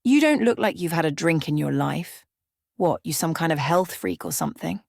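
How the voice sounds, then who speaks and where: A woman puts on a grizzled barman from a bedtime story. He is gruff and sardonic, grumbling his needling remarks in a growl. The recording is close and dry. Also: lively intonation, normal speed, a little mellow, dry recording. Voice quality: low growl. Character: grizzled, gruff, scowling male bartender in a dive bar.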